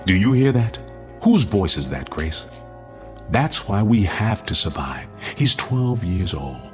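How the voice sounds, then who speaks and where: Give the voice of an American father in a radio drama. He is low-pitched and swings widely as he commands. He is earnest and steady over real anxiety, with music underneath. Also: slow. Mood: grave, earnest. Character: anxious but steady father preparing family for nuclear attack.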